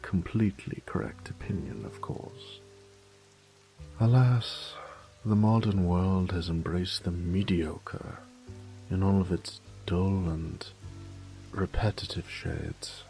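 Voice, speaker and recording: A man's voice: low voice, takes it slowly, gravelly, mellow, soft background music, heavily acted. A man playing an ancient, haughty, predatory vampire lord in an audio drama, with a pompous, condescending, theatrical drawl and whispered asides. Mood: smug, seductive, then disdainful. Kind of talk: scripted roleplay audio drama.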